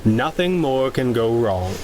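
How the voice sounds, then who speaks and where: An actor playing a smooth, dryly playful newlywed man in a 1930s-style radio adventure. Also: medium pitch, very animated, measured pace, darkish tone, slight background noise, rough recording. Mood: wry, playful. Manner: dry, bantering, smooth. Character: suave, playful, unruffled newlywed husband adventurer.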